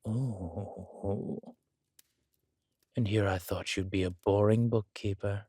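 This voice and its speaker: A deep, gravelly villain king acted by a man for an audio roleplay. He speaks quietly and deliberately, with a weary dry wit and understated menace. The sound is intimate, with no room echo. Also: fairly even intonation, heavily acted. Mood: dryly amused.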